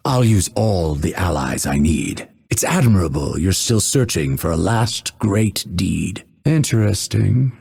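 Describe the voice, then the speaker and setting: An actor playing a proud, scholarly male assassin in a video game, speaking in a measured, formal, dryly witty and haughty way with a husky voice. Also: deep voice, animated, darkish tone, full character voice. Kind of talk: video game character voice lines.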